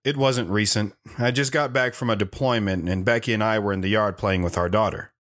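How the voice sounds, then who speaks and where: A man's voice: fairly low pitch, steady tone, a little fast, fairly bright tone, no room sound, clean studio sound, natural voice. A man playing a subdued military veteran, an understated guest telling his life story in an audio drama. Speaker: talk show guest.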